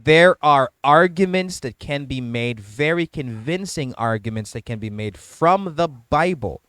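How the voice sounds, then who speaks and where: A man hosts a casual debate, laying out his points in a steady, measured way. His voice sits at an average pitch. The recording is dry and close, with almost no room sound. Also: clear, resonant tone, no echo.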